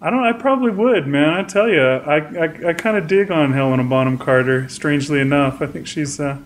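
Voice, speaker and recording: A man's voice: fairly high pitch, brisk, a little hiss or hum. A man co-hosting a podcast in casual chat at home, talking in a rambling, easygoing way and laughing often. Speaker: podcast co-host. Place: home room with plate on wall.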